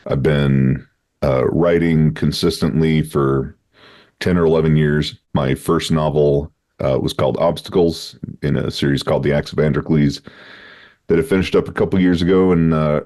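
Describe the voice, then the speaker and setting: Man with a very deep, dark voice. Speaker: panelist, author. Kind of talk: casual panel chat.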